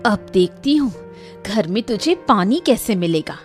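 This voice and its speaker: A woman playing a determined young village woman in a Hindi animated moral story. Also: medium pitch, measured pace, faint music behind, natural voice. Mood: angry, scolding.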